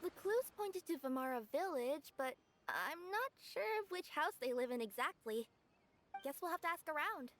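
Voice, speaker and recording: A friendly young courier for a video game. The voice sits high and moves in a lively, upbeat way. She sounds conversational and conscientious, with faint noise behind. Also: normal speed.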